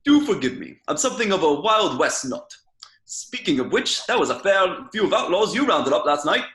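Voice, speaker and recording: Jovial police chief, bright and roomy, acted by a man. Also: sits high, big pitch swings, normal speed. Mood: genial, cajoling. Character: jovial senior village police chief, fatherly and cajoling. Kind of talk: amateur screenplay table read. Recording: roomy home microphone sound.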